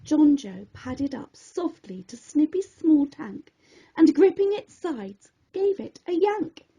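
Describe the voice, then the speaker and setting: A woman reading a rhyming bedtime story in an expressive, theatrical way, on a slightly dull recording. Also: high voice, takes it slowly. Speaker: storybook reader.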